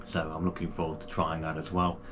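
A man's voice: rumbling low, completely flat delivery, a little fast, background noise. A man, casually unboxing and reviewing gear for his channel in a small home room, chatty and full of fillers and restarts. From United Kingdom. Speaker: kayaking channel host.

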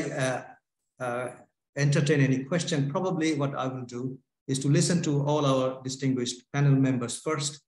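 A man chairing an online academic panel over a video call, hesitant with many filler sounds. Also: medium pitch. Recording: echoey room on a video call.

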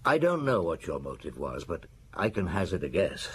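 An actor playing a middle-aged police detective in a radio drama, patient, deliberate and probing, with a husky voice. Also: male voice, deep voice, big pitch swings, a bit bright, slight background noise. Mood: grave, pressing. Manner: deliberate, probing, grunting short replies.

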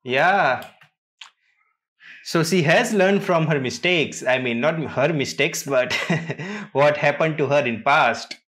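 A man's voice: fairly high pitch, slow, a bit bright, slight background noise. A man hosting a reaction video, commenting casually in a hesitant, trailing way.

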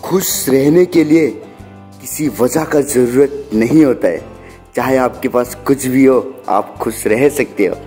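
A man's voice: mid-range voice, unhurried, mellow. Earnest man giving voice-over over music. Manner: earnest, asks viewers to subscribe. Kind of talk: reflective voice-over between clips.

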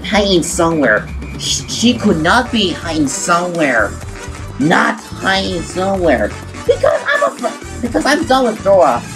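A woman voicing an excitable, grumbling cartoon sea sponge in an amateur storytime skit, dramatic and full of complaint. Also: medium pitch, natural voice. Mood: panicked, annoyed. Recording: game music behind the voice.

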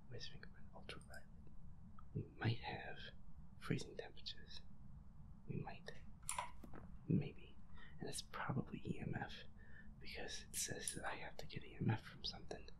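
Male actor as feisty boyfriend in a gaming roleplay: low, hushed, breathy muttering, repetitive grumbles and swearing. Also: rough recording. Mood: tense, hushed. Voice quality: breathy, often half-whispered. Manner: muttering, whiny, sweary complaints, repeats himself. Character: feisty werewolf boyfriend grumbling through a scary video game. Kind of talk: roleplay audio, improvised gaming chatter.

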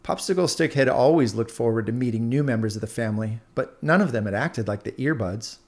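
A man narrating a scripted children's story aloud in an expressive storyteller's manner. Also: mid-range voice, brisk. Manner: expressive, animated.

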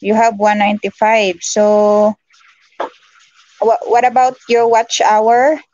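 A young Filipino woman with a Filipino accent and Tagalog as her first language, chatting casually as a livestream host and haltingly searching for English words. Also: a little high, level tone, a little mellow, no room sound, highs cut off. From Philippines. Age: young adult. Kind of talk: casual livestream chat with viewers. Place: small room at home, indoors.

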